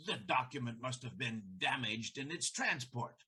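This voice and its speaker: An actor playing a scheming, vengeful doctor in an audio play, sounding defensive and self-righteous. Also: male voice, mid-range voice, expressive, normal speed, sharp tone, husky, exaggerated voice.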